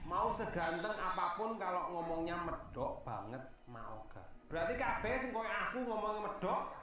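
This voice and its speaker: A man's voice: high voice, sing-song, moderate pace. A man from Australia with a Javanese accent, talking in a casual, emphatic and teasing vlog style. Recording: echoey, distant, crowd behind.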